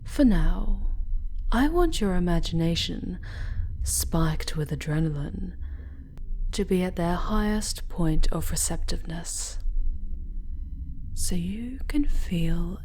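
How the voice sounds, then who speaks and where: Slow, low woman acting a cruel seductive dark elf. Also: expressive, slight background noise. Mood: gloating, sensual. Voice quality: breathy, half-whispered. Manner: haughty, languid, possessive purring. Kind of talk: intimate ASMR roleplay.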